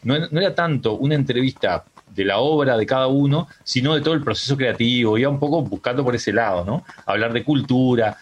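A man's voice: medium pitch, brisk, few pauses, highs cut off. A middle-aged man with a Uruguayan accent, chatting as a radio guest in a loose, rambling way with many restarts. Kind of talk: casual radio interview. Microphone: home microphone on a remote call.

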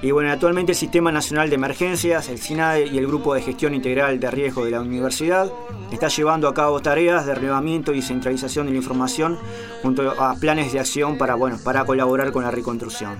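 A man hosting a radio program, reading a news report in a measured way with occasional fillers. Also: mid-range voice, steady tone, music in the background. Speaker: radio host.

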